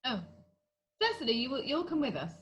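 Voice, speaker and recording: A woman's voice: sits high, sing-song, fairly quick, fairly bright tone, highs cut off. A woman playing a prim, moralising Victorian governess in an amateur play read-through. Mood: disapproving. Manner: moralising, starchy. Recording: faint hum on video call.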